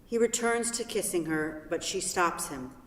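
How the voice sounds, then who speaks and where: A narrator reads scripted stage directions at a middling pitch. The voice is distant, with strong echo from a theatre hall. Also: expressive, moderate pace, fairly bright tone.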